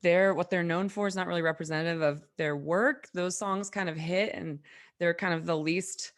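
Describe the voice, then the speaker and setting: A woman's voice: on the low side, fairly even intonation, moderate pace. A woman co-host chatting casually about music, laid-back and playful in how she chimes in. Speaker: talk show co-host.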